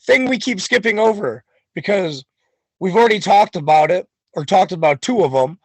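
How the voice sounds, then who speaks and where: A man's voice: high voice, expressive, normal speed, husky, dry recording, poor audio. A man talking as a wrestling guest on a podcast, boastful and hyped, full of swagger. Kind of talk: podcast chat.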